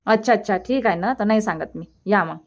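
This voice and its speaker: A woman voicing a fond, gently nagging older village mother in a cartoon comedy, colloquial and insistent, with a rural Vidarbha Marathi accent. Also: mid-range voice, fairly quick, lightly acted. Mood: fond, agreeable.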